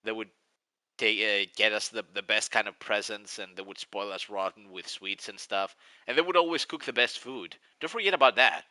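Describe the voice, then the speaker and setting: Greek man with a sharp, dry voice. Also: lowish voice, moderate pace, no echo, low-quality recording. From Greece. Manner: rambling, nostalgic, restarts often. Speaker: live streamer. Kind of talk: casual live-stream chat.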